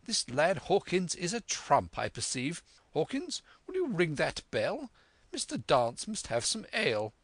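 Guy reading a storybook as a loud pompous squire, hot-headed, exclaims everything, voice leaps all over. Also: on the high side, wildly swooping pitch, measured pace, no echo, pitched above the performer's own voice, exaggerated voice. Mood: hearty, approving. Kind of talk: audiobook character voice.

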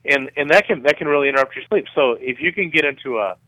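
A middle-aged man giving measured, conversational explanations as a guest in a radio interview, often repeating words as he goes. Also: on the high side, pushed and bright, no room sound, poor audio. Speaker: guest researcher being interviewed.

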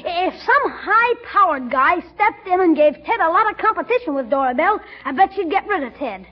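A woman's voice: very high-pitched, sing-song, fairly quick. A woman playing a cheeky, scheming young boy who wheedles in slangy talk, in 1940s radio comedy style. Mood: eager, wheedling. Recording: muffled old-time radio recording.

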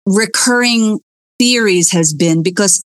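A woman's voice: mid-range voice, a little slow, no room sound. Swearing, laughing woman podcast host. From Philippines and the United States. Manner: blunt, swears freely, laughs often. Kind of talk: casual interview chat.

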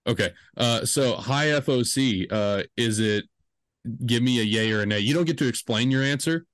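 A man's voice: mid-range voice, moderate pace, no echo, clean studio sound. A man in his twenties hosting a podcast, chatting casually and rambling with lots of fillers and jokes. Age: 20s. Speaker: podcast host.

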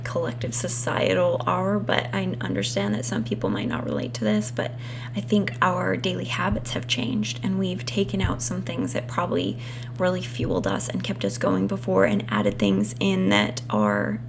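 Creaky, slightly hoarse woman podcasting. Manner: chatty, informal, apologetic asides to listeners. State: losing her voice. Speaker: podcast host. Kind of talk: casual solo podcast talk to listeners. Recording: clear background noise, passing truck rumble. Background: noisy truck outside.

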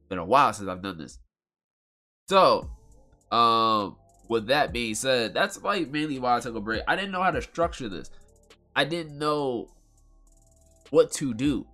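A man with a bright, nasal voice hosts his own podcast at a home mic. He talks in a loose, rambling, slang-filled way, and faint music sits low behind him. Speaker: podcast host. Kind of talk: casual solo podcast talk.

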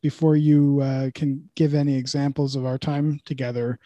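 A man from Canada hosting a podcast, asking questions in a casual, halting way with frequent restarts. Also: mid-range voice, little pitch movement, warm tone, no room sound, dull audio. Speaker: podcast host. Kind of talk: casual interview talk.

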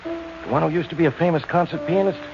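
An actor playing an irascible, skeptical police inspector in a 1940s radio mystery, blustering and impatient. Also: male voice, fairly high pitch, fast, vocal fry. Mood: irritated, incredulous. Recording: muffled old radio recording, music behind.

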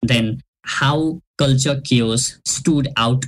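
A podcast host asking long, winding interview questions padded with "uh" and "you know". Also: drawn-out words. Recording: faint hiss and low rumble.

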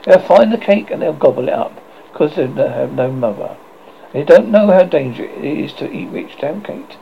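A vain, menacing pirate captain, read by a man, growls his lines in a dramatic storybook way. The voice is gravelly and swoops widely in pitch. The recording sounds dull and lo-fi. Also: lively intonation, normal speed, a little hiss or hum. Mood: scheming.

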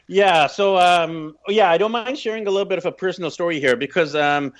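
A man's voice: high-pitched, fairly quick. A Canadian man in his thirties telling personal stories as a podcast guest, chatty and emphatic in conversation. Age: 30s. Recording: slightly dull, lo-fi audio.

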